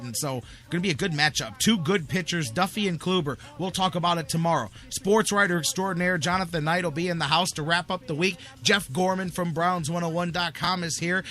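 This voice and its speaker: A man's voice: fairly high pitch, bright, forceful tone. An American man hosting casual sports talk radio, emphatic and slangy, repeating phrases to drive his points home.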